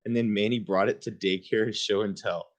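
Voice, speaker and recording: A man's voice: lowish voice, steady tone, moderate pace. A man reading a children's book aloud in an informal way, with casual asides of his own. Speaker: reader hosting a book livestream.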